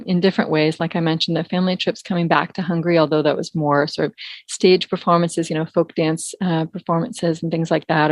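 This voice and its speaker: A woman's voice: lowish voice, dry recording. A woman from San Francisco, a folk singing teacher, explaining things thoughtfully as a guest in a podcast interview. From San Francisco, USA. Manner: thoughtful, explanatory, many fillers.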